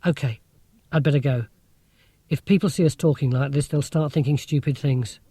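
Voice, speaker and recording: A man's voice: on the high side, normal speed, warm tone, husky, dry recording. A man voicing a clever, bossy, blunt schoolgirl in an audiobook for children. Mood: decisive.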